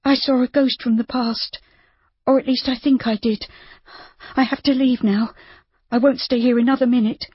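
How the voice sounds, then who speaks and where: A woman's voice: fairly high pitch, fairly even intonation, normal speed, long pauses, breathy edge, pitched above the performer's own voice. A woman reading an audiobook, voicing a frightened, headstrong young woman who speaks urgently and tensely. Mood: panicked. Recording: dull muffled audiobook recording.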